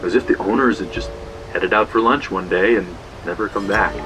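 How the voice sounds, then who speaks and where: An actor playing a young slacker who narrates a grim trespassing tale in a casual, profane, matter-of-fact way. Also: male voice, on the low side, brisk, natural voice, rough recording. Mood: brooding, hushed. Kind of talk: first-person audio drama narration. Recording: music bed behind the voice.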